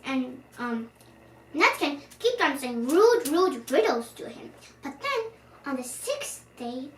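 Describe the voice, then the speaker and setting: High-pitched young girl reading a storybook, very animated. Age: child. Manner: animated, expressive, with stumbles over words. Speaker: storyteller, channel host. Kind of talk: children's storybook read-aloud. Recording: roomy, distant microphone, faint background noise.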